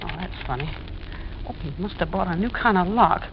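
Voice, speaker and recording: A woman's voice: on the low side, expressive, a little fast, close to an everyday voice. An actress playing a nosy, chatty, suspicious neighbor landlady in a gossipy, rambling 1940s radio suspense style. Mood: calling, solicitous. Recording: dull, hissy 1940s radio recording.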